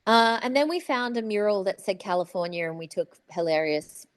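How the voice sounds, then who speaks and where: Woman, Australian accent, medium pitch, relaxed podcast chat among friends, no room echo. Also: fairly quick, clear, resonant tone. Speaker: podcast host, voice actor.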